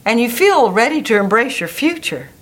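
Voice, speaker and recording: A woman guiding a meditation in a soft, gentle, soothing way. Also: on the high side, sing-song, moderate pace. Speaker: meditation guide. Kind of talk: guided meditation.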